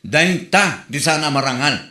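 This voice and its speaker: Comedy drama busybody, male actor, Filipino accent: sharp, animated, theatrical scolding and teasing. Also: a little high, a little slow. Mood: lecturing. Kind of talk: Ilocano comedy drama acting. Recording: roomy, echoing voice recording.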